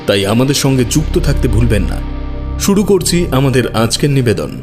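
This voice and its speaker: A man presents a scripted introduction for an audio story channel. His voice sits at an average male pitch. Music plays clearly behind him. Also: expressive, moderate pace. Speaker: story channel host and writer.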